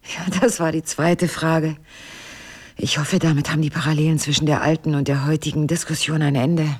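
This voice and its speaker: An actress in a naturalistic radio drama playing a guarded, weary wife who speaks in a measured, evasive, dryly sardonic way. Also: female voice, sits low, little pitch movement, darkish tone, breathy edge. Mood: weary, bitter. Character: guarded, weary wife burdened by a painful secret.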